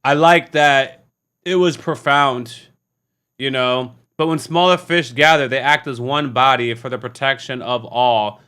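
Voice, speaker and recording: A man hosting a casual, unscripted reaction video, talking in an animated, slangy way and often repeating himself. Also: mid-range voice, measured pace, clear, resonant tone. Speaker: YouTube reaction host.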